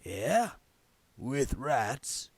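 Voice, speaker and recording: A man's voice: mid-range voice, sing-song, extremely slow, husky, dry recording, exaggerated voice. A man voicing a reluctant, tentative bogeyman monster in a storybook reading. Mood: curious. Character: hesitant, grudging male bogeyman monster. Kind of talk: audiobook character voice.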